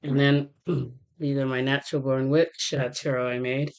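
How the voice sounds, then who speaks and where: A woman tarot reader talking casually to her viewers, halting with many fillers and restarts while getting over a cold. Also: rumbling low, flat delivery. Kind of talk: casual rambling vlog talk.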